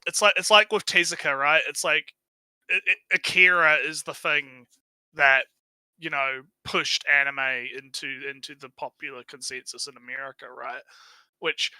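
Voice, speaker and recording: A man with a fairly high, piercingly bright voice hosts a casual critique stream. He is blunt and sweary, with a mocking edge. The sound is very dry, with hardly any room on it. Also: steady tone, moderate pace, no echo, rough recording. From New Zealand. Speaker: stream host. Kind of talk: casual critical commentary on a video.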